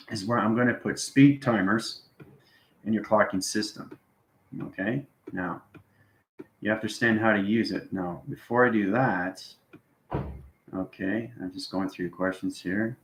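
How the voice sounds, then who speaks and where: Male live spiritual host, low register, rambling teacherly delivery with repeated check-ins, noticeably echoey sound. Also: fairly bright tone. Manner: instructional, frequent check-in tags like okay and right. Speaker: host answering live viewers. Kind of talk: live-stream spiritual talk, rambling explanation.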